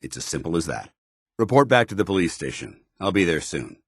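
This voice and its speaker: An actor playing a stern, duty-bound military commander in a video game, speaking formally with clipped authority. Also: male voice, low voice, sing-song, brisk, slightly rough, no room sound, studio quality, heavily acted. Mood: commanding, businesslike. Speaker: commanding officer. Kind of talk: scripted video game dialogue.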